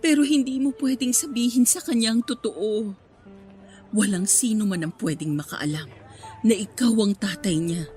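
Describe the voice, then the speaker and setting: A woman's voice: mid-range voice, moderate pace, darkish tone, faint music behind, close to an everyday voice. A woman playing a guarded, firm single mother in a radio melodrama, emotional and defensive, with a Filipino accent. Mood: stern warning.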